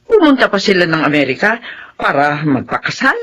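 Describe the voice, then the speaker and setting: A woman's voice: deep voice, big pitch swings, a little fast, a bit bright, exaggerated voice. An actress playing a motherly, accepting older Filipino household woman in a radio drama. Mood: curious.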